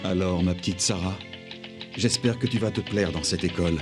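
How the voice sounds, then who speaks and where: A man voicing a loving gentleman father in a dubbed cartoon, formal and affectionate. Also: low voice, normal speed, over music, lightly acted. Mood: tender. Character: loving, courteous gentleman father of a little girl.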